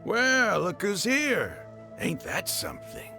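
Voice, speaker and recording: An actor playing a zealous desert mercenary leader in a video game, gravelly, grandiose and mocking, declaiming like a villain. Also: male voice, high-pitched, wildly swooping pitch, slow pace, music in the background, heavily acted. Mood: smug, mocking.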